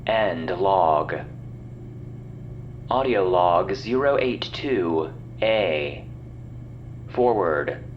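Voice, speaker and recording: Man as deep, slow archive announcer. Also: expressive, heavily acted. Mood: impersonal, formal. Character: impersonal archive announcer reading log headers. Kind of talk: scripted audio log announcement. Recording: muffled, humming, noisy log playback.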